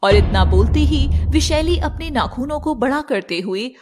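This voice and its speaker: A woman narrating a scripted animated fairy tale as storyteller, with noise behind her. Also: high-pitched, measured pace, music in the background.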